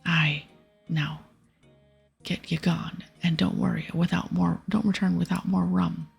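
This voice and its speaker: A boastful, rum-loving pirate captain, played by a woman in a very breathy, creaky whisper. The talk is thick pirate dialect, read out as video game dialogue for ASMR. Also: fairly low pitch, little pitch movement, a little slow, faint music behind, heavily acted. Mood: dismissive. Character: gruff, boastful old male pirate captain, fond of rum.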